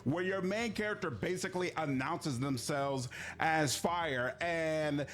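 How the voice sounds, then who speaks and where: A man giving conversational, explanatory opinion commentary as a video game reviewer, measured but emphatic on key words. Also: a little high, a bit bright, slight background noise.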